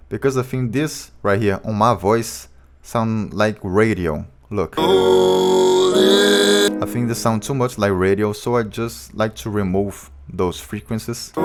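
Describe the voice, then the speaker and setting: A man with a low voice, a music producer walking through his vocal chain step by step in a plain, informal tutorial. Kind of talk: tutorial walkthrough.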